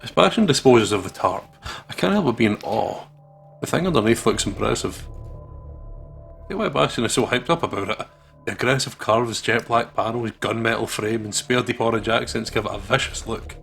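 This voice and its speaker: A man's voice: mid-range voice, expressive, normal speed, gravelly, fairly bright tone, soft background music. A man reading visual novel narration aloud as a let's player, with casual reactions.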